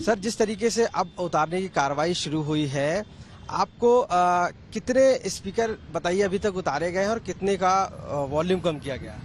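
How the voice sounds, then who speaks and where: Male TV reporter, raised pitch, insistent long-winded interviewing, faint vehicle noise outdoors. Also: lively intonation, moderate pace. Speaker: TV news field reporter. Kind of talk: news interview questioning.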